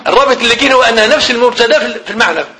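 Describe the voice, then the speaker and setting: A man's voice: very high voice, racing, pushed and bright. A man with a Moroccan Arabic accent teaching a grammar lesson. He repeats phrases, asks the class questions and then answers them himself.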